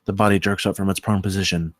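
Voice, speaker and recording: A man's voice: deep voice, little pitch movement, fast talker, no room sound, clean studio sound. A man reading stage directions as scripted narration at a comedy screenplay table read.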